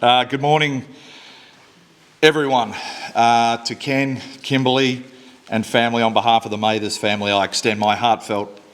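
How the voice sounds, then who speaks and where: A man's voice: mid-range voice, flat delivery, moderate pace, a bit bright. Plain-spoken man giving a eulogy. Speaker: eulogy speaker. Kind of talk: funeral speech. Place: church service. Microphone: microphone at a lectern.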